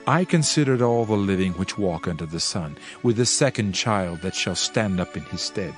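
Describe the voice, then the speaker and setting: A man giving a dramatized scripture reading with a solemn, measured delivery. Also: low-pitched, expressive. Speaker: scripture reader. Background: faint background music.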